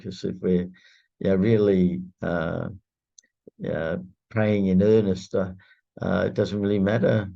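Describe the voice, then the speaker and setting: A man whose first language is Greek, talking in a casual group video call and pausing often with fillers. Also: low voice, gravelly, mellow, lo-fi sound. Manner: halting, lots of filler pauses. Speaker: prayer group member. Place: indoor room shared with another participant.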